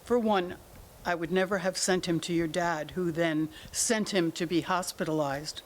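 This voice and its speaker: A woman's voice: fairly low pitch, steady tone, moderate pace, lightly acted. A woman playing an evasive, argumentative older mother in denial about her son, in a staged drama reading. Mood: pleading, regretful. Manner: deflecting, argumentative, talks in analogies. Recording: noisy room ambience.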